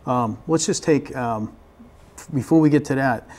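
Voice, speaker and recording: A man's voice: mid-range voice, moderate pace, a little mellow. A man with an American accent explaining things conversationally in an interview, often repeating words as he starts a sentence. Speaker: fire department staff member, interview guest.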